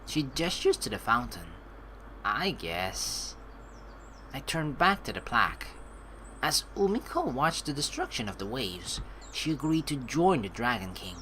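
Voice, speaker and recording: Animated let's player with a bright voice. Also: mid-range voice, unhurried, slight background noise, low-quality recording. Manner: animated, joking, sarcastic asides. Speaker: let's play streamer. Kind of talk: reading game dialogue aloud with reactive commentary. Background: game music.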